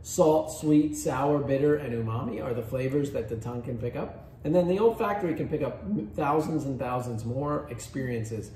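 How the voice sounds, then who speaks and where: Easygoing man as tasting instructor, mellow tone; echoey room, faint hiss. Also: mid-range voice, normal speed. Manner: easygoing, guiding step by step. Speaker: cheese shop founder leading a tasting.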